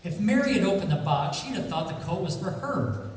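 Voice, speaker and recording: A man playing a cocky, wisecracking amateur sleuth, breezy even when apologizing, in an old-time radio detective drama. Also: high voice, a little fast. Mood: earnest explaining. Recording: echoey hall, distant, faint noise.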